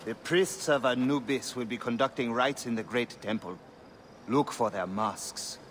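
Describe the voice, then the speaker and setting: An actor playing a troubled Egyptian high priest in a video game, speaking with solemn weight. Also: male voice, measured pace, slight background noise, natural voice. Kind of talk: dramatic video game acting.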